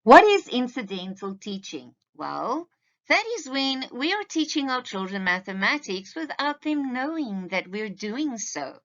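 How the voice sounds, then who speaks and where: A woman's voice: fairly high pitch, sing-song, measured pace, fairly bright tone, muffled recording. A woman teacher giving a scripted presentation to parents in a didactic, carefully enunciated way.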